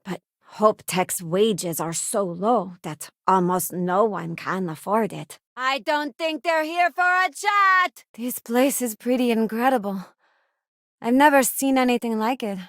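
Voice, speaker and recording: An actress voicing a sincere, principled young female space ranger and crew member in a video game, with a measured, plain-spoken delivery. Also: a little high, expressive, fairly bright tone, dry recording, studio quality. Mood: urgent, battle-ready. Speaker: voice actress playing a game companion. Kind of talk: scripted video game voice lines.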